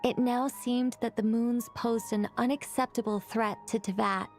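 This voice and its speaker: A woman's voice: on the high side, fairly even intonation, normal speed, darkish tone. A woman reading scripted narration for a lore recap video. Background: background music.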